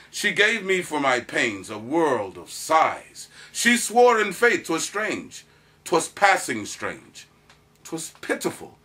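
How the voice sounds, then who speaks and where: A man's voice: fairly high pitch, sharp tone, heavily acted. A man performing a proud soldier who recounts his courtship in a declamatory, theatrical Shakespearean monologue. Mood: tender, storytelling. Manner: declamatory, dramatic emphasis.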